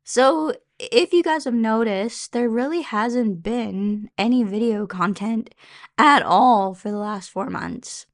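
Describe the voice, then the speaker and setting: A woman's voice: on the high side, takes it slowly. Slightly congested young woman chatting. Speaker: vlogger. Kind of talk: casual chat to camera, question-and-answer update.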